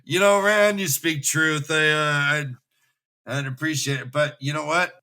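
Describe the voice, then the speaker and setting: An easygoing fantasy-story dad, put on by a man retelling the tale, speaks in a bright, forceful voice. He is laid-back and folksy, slipping into slang. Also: fairly high pitch, fairly even intonation, a little slow, voice pushed up. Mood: easygoing, reassuring. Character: easygoing older father, a stout countryman.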